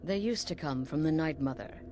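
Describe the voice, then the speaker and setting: A woman voicing a middle-aged assassin leader in a video game, coolly sinister and sly, measured and knowing with dramatic pauses. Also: low-pitched, expressive, darkish tone, a little hiss or hum. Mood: matter-of-fact, resolute.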